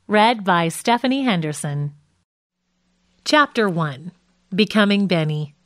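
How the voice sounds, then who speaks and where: Woman, audiobook narrator, lively intonation, mid pitch, scripted reading. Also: no echo, studio quality. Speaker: audiobook reader.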